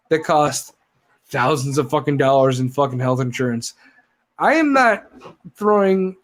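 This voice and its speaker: Profane, ranting man in his thirties. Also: a little high. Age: 30s. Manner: profane, heated rant. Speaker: podcast host. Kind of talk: casual live-stream chat.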